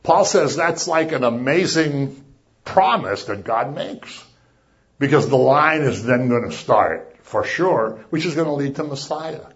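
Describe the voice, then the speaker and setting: A man preaching a sermon as a pastor, chatty and animated, acting out little voices as he talks. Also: mid-range voice, measured pace, dull audio. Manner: conversational, animated, acts out voices.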